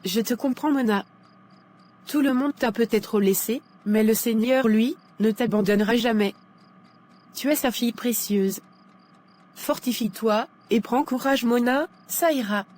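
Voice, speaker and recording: Woman playing a supportive young friend, fairly high voice, reassuring, encouraging, cartoon dialogue. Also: measured pace, a little mellow. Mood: comforting.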